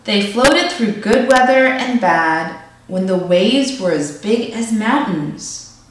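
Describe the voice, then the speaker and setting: Lady doing an expressive kids story read aloud, really animated pitch, super echoey and kinda distant from the mic. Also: takes it slowly. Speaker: storyteller reading a picture book aloud. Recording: echoey room, distant microphone.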